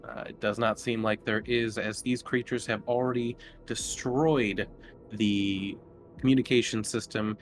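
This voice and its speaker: A man acting as game master, narrating and explaining casually in a halting, hesitant way. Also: lowish voice, steady tone. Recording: faint music under the voice. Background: faint music.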